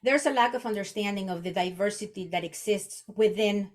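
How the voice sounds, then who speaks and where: Mexican-accented woman, halting and conversational. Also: medium pitch. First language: Spanish. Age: 40s. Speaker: podcast guest, executive coach. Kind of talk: conversational interview, personal reflection.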